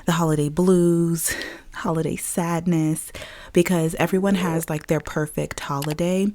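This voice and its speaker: Low, slightly raspy woman chatting. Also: darkish tone. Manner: chatty, laughs often, many fillers. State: slightly raspy, scratchy throat. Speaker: podcast host. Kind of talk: casual chatty monologue.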